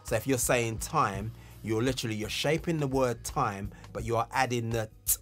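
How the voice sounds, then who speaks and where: Male tutor, mid-range voice, clear step-by-step instruction with stressed key words, some music behind. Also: medium pitch, moderate pace. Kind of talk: instructional tutorial on talkbox technique.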